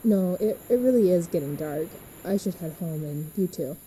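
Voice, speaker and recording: A young woman playing a reassuring, upbeat teenage girl in an audio drama, with a husky voice. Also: lowish voice, lively intonation, moderate pace, warm tone, slight background noise, lightly acted, poor audio. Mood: gently evasive.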